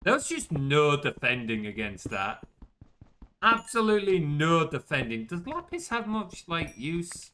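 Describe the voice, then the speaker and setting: Young male streamer, chatty, self-deprecating, quick to curse; animated delivery, crisp bright tone. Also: on the high side, a little hiss or hum. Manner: chatty and self-mocking, swears when caught out. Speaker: game streamer. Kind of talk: casual gameplay commentary.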